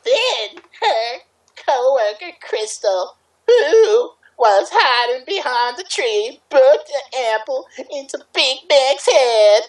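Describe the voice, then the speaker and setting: Female casual reader, extremely high voice, slow delivery, jokey and irreverent. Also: lively intonation, rough recording. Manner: irreverent, playful ad-libbing. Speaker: reader in a group fan fiction reading. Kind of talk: casual, jokey read-aloud among friends.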